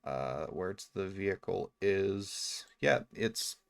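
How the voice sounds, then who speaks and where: Low, creaky man commentating. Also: very animated, slow. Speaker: video game YouTuber. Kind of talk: casual game update commentary.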